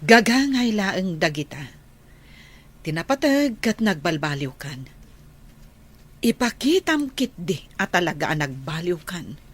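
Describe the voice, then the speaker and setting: A woman acting as a kindly, encouraging high school teacher in a radio drama, with an Ilocano Filipino accent. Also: measured pace, pauses often, breathy edge, exaggerated voice. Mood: reassuring. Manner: animated, encouraging.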